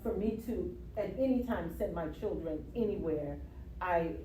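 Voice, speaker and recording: A woman from the US Virgin Islands, a guest telling her story in a casual interview, expressive and quick to laugh. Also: on the low side. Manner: expressive, laughs easily, repeats "yeah". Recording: roomy, from a distance, faint noise.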